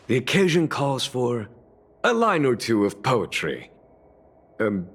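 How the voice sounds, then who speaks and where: An actor playing a composed, courteous military general in a fantasy game, speaking in formal, measured phrases. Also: male voice, on the low side. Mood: gracious. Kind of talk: scripted game voice acting. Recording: background music.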